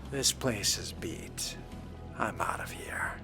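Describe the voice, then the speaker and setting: Man playing a slow, pompous fledgling vampire. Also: on the low side, in a whisper. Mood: dry, musing. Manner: pompous, dry. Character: haughty, wordy male fledgling vampire, disdainful. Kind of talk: video game roleplay narration. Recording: loud game music behind voice.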